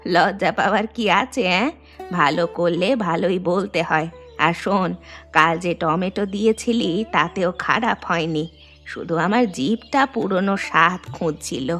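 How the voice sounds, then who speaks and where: A woman voicing an affectionate old mother-in-law in an animated moral story, speaking tenderly with homely advice. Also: on the low side, normal speed, natural voice. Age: older. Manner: tender, advising, plain homely speech. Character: old Bengali mother-in-law, affectionate, gentle, home cook. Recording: music behind the voice.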